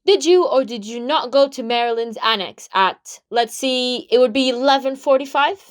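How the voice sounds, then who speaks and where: A precocious boy detective of eleven, played by a woman in a comic mystery drama. He speaks in a high voice and questions suspects with officious, self-important authority. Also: normal speed, smooth, lightly acted. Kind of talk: comic murder-mystery audio drama acting.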